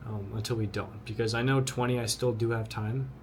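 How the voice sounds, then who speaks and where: A young man of twenty talks to the camera with blunt, earnest conviction, often repeating words as he pushes his point. His voice sits at an ordinary middle pitch. A faint hum of ambience runs behind him. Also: steady tone, brisk. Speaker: vlogger. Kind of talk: motivational talk to camera.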